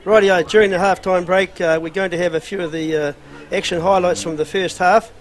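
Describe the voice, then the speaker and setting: A man commentating a club rugby match outdoors, speaking in a relaxed, halting way with many fillers. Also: high-pitched, background noise.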